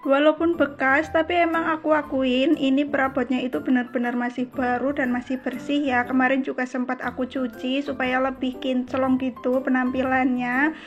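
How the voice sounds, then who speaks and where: A high-pitched woman with a Javanese Ngapak accent narrates a homemaker vlog in a casual, chatty way. Her intonation stays fairly flat as she addresses viewers like friends. The voice-over sounds slightly dull, with music playing underneath. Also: moderate pace. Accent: Javanese Ngapak (Banyumasan, Cilacap). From Cilacap, Central Java, Indonesia. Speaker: homemaker vlogger. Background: background music.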